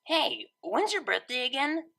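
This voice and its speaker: A woman's voice: sits high, sing-song, measured pace, vocal fry, sharp tone, voice pushed up, full character voice. A woman voicing a brash, playful young female unicorn DJ who talks in a casual, slangy, teasing way. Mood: teasing, insistent. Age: young adult.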